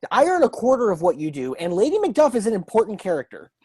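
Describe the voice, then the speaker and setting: A woman playing a gentle, anxious young male actor in an audio play, speaking hesitantly. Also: medium pitch, huge pitch swings, fast, breathy edge. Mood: defensive.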